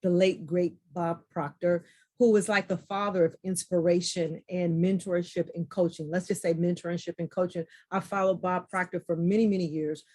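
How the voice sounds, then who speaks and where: A woman hosting a podcast in an excited, effusive way, often repeating phrases for emphasis. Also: fairly low pitch, normal speed. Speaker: podcast host.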